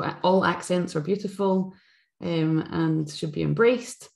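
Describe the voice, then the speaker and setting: A woman's voice: deep voice, gravelly, warm tone. A middle-aged woman with a Scottish accent presents a teaching webinar over a video call, explaining activities in a friendly way with many fillers. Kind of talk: live webinar talk. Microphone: webcam video call.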